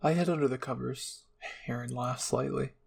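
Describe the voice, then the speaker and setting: A man's voice: mid-range voice, moderate pace, creaky, a little mellow, slightly rough. Man narrating fan fiction. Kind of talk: scripted story narration, ASMR roleplay reading.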